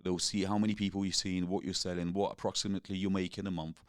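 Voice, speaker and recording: Deep-voiced man in his forties. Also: level tone, fast talker, clean tone, dry recording, clean studio sound. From Russia, raised in England. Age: 40s. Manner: laid-back, swears freely, British slang. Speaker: guest. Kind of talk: casual interview chat.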